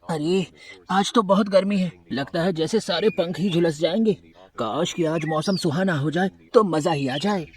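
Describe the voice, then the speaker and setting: A man voicing a timid young schoolboy crow in a cartoon, with a plaintive, drawn-out delivery. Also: sits high, close to an everyday voice. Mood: weary, wishful. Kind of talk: cartoon character acting. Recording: music behind the voice.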